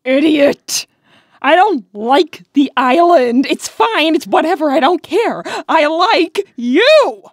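A woman's voice: sits high, animated, slow, no echo. Sultry, teasing bat lady played by a woman. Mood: furious confession. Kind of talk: comic dub voice acting. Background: music.

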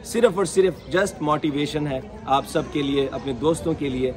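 A man's voice: fairly high pitch, normal speed. A man in his thirties from Pakistan addressing viewers outdoors as a charity vlogger, earnest and devout, full of prayers and blessings while gesturing with open hands. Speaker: charity vlogger handing out groceries. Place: open courtyard outdoors among seated families. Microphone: camera a few feet away, waist-up framing. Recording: outdoor crowd murmur behind. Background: crowd of people.

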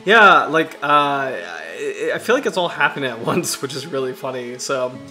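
A man in his thirties from the US Chesapeake Bay region, hosting a casual livestream in a halting, self-deprecating way, his throat slightly raspy from allergies. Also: medium pitch, sing-song, over music. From United States, Chesapeake Bay region. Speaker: livestream host.

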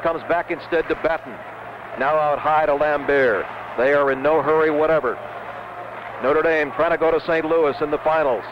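A man calls a basketball game live for radio in a high-pitched voice, in short, clipped running phrases. The sound is a dull, noisy 1970s broadcast recording. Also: fairly even intonation, moderate pace. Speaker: radio play-by-play announcer. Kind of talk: live basketball play-by-play commentary. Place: courtside in a basketball arena.

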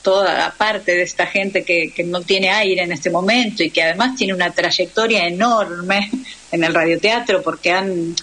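Low-voiced Argentine woman, explanatory. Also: moderate pace, a bit bright, rough recording. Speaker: radio theatre guest. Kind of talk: live radio interview, conversational.

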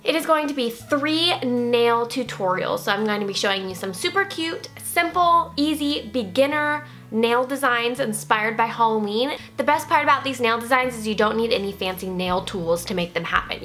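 A woman's voice: fairly high pitch, normal speed, few pauses, a bit bright. A young woman in her twenties chatting upbeat through a nail art tutorial in her bedroom, with self-mocking asides. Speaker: beauty vlogger. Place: bedroom, indoors.

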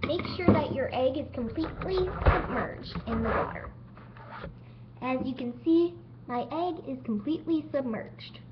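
High-voiced woman hosting a homemade cooking show. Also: animated, measured pace. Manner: unscripted, stumbling over words now and then. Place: home kitchen. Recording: dull lo-fi home video with noise.

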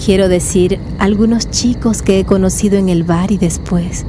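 A woman playing a pale, melancholy young woman in a mystery radio play, soft-spoken, reserved and evasive. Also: mid-range voice, fairly even intonation, moderate pace, noisy background, natural voice. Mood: eerie calm. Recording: music bed behind the voice.

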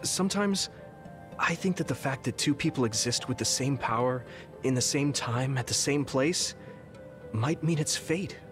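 An actor playing an earnest young male psychic soldier in a game, speaking gently and sincerely, with music behind. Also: mid-range voice, normal speed, close to an everyday voice. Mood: reflective. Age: young adult. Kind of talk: video game dub acting.

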